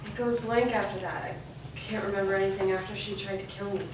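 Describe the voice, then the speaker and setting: A woman's voice: mid-range voice, fairly even intonation, fast, natural voice. Woman as bewildered, searching heroine, stage drama, heavy echo, background noise. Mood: confused, shocked. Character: bewildered wounded woman searching for lost memories. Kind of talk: staged reading drama acting. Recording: echoey room, distant mic, background noise.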